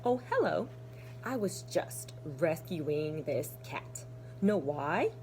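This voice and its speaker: A woman voicing an earnest, proud, helpful male egg from a children's storybook, speaking deliberately and emphatically. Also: deep voice, big pitch swings, noisy background, voice pushed down, full character voice. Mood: cheerful, proud.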